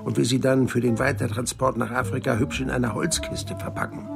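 An actor playing an unflappable, wry hundred-year-old man in a German radio drama, telling his story in an unhurried, chatty way. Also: medium pitch, little pitch movement, creaky. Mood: matter-of-fact. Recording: music behind the voice.

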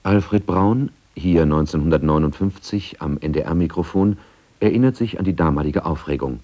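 A man with a low, mellow voice narrates a radio feature. His delivery is scripted, measured and factual. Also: highs cut off.

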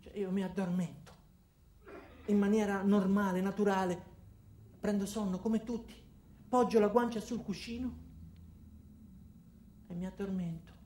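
A man narrating a first-person comic monologue as an actor, in a deadpan, terse manner. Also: high voice, measured pace, long pauses, roomy sound, slight background noise.

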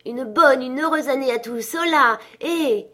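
A woman's voice: a little high, normal speed, a little mellow, close to an everyday voice. A woman voicing a giddy, reborn old miser in an exclamatory, breathlessly exuberant storybook reading. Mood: giddy joy.

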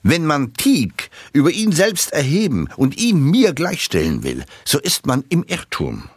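An actor playing sardonic men of letters, reciting literary jibes for radio in a deliberate, dry manner. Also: male voice, fairly high pitch, wildly swooping pitch, husky, dry recording, exaggerated voice. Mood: haughty.

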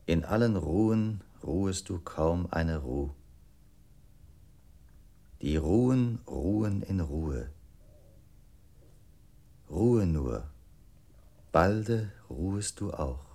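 An actor playing a solemn reciting voice, delivering poetry in a measured, deliberate radio-play manner. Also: male voice, low voice, lively intonation, dark tone, heavily acted. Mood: calm, incantatory. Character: solemn male reciting voice in a language experiment.

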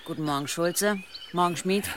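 An actress playing a defiant, protective village wife, wry and firm, in a radio drama. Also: female voice, lowish voice, moderate pace, a little hiss or hum, lightly acted. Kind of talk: German radio drama acting.

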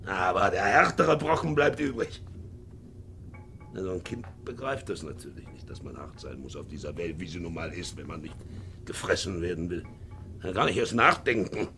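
Gravelly, brooding old sea captain. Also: male voice, deep voice, lively intonation, normal speed, pushed and bright, full character voice. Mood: bitter, self-justifying. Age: older. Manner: brooding, swinging from muttering to harsh barking. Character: hard, brooding ageing ship's captain, self-justifying and haunted. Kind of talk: 1950s German radio drama acting. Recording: 1950s radio recording, faint music.

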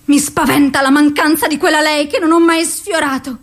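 A woman acting an anguished, mentally ill, lovelorn woman in a theatrical dramatic monologue, with an intense, deliberate delivery. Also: high-pitched, fairly even intonation. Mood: brooding grief.

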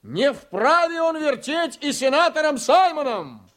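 A man's voice: very high-pitched, sing-song, unhurried, background noise, full character voice. An actor playing a self-righteous, combative male politician in a radio play, declaiming a public statement with emphasis. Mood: indignant, proud. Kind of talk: Soviet radio drama acting.

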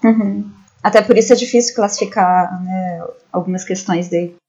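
A woman's voice: lowish voice, normal speed, mellow. A Brazilian woman speaking as a panelist in a casual podcast discussion. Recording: dull lo-fi sound.

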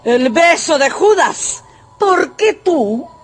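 Imperious old grandmother, wildly animated. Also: high-pitched, takes it slowly, full character voice. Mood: haughty, mocking. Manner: haughty, mocking, commanding. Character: imperious, sharp-tongued, rich old Russian grandmother. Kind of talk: radio drama acting.

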